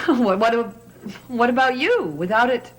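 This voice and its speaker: A woman's voice: fairly high pitch, expressive, measured pace, low-quality recording. Earnest, anxious woman. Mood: anxious, pleading. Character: anxious, loyal woman in a love triangle. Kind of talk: 1960s gothic soap opera acting.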